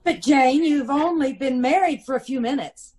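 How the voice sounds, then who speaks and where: A woman's voice: sits high, normal speed, darkish tone, close to an everyday voice. An American woman playing a plucky, teasing detective's wife in an old-time radio comedy, arch and playful. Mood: surprised, curious.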